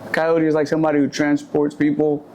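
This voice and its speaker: Man, young adult, slightly high voice, candid, rambling, faint background noise. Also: completely flat delivery, fast, a little mellow. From raised in Puerto Rico. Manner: candid, rambling, self-correcting. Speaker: guest, barber and shop owner. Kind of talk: casual interview storytelling. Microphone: handheld or shared mic.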